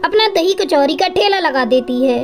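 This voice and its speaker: A woman's voice: extremely high pitch, animated, normal speed. A woman narrator reading a scripted children's cartoon story.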